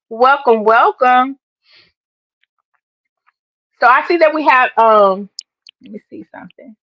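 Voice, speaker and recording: A woman's voice: fairly high pitch, no echo. A woman hosting her own live stream, chatting emphatically and addressing her viewers directly. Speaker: livestream host and sports fan. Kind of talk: casual live-stream chat. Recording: dull lo-fi stream audio.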